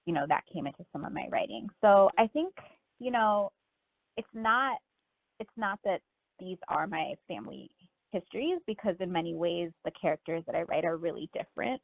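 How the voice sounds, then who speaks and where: A woman's voice: lowish voice, lively intonation, moderate pace, creaky, no room sound. A woman from Miami speaking casually and reflectively as a guest author in an interview, often saying "like" and "you know.". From Miami, United States. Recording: narrow phone-line sound.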